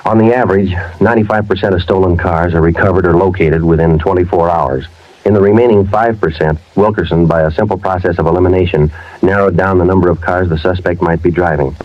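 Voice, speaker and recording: An American actor playing a terse, weary police sergeant in a 1950s radio drama, narrating in a clipped, matter-of-fact way. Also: male voice, sits low, steady tone, fast talker, close to an everyday voice. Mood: flat narration. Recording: dull vintage radio recording, loud music.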